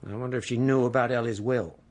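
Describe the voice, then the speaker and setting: An actor playing a shrewd older family lawyer in a radio drama, measured and pointed. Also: male voice, mid-range voice, fairly even intonation, darkish tone, natural voice. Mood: cold, warning.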